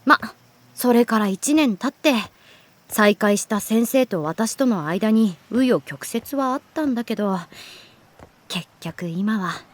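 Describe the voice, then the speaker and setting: A woman playing a lovestruck teenage girl in a Japanese audio drama, teasing yet wistful, speaking in an unhurried way. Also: on the high side, animated. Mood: wistful, hushed. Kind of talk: Japanese audio drama acting with soft inner monologue.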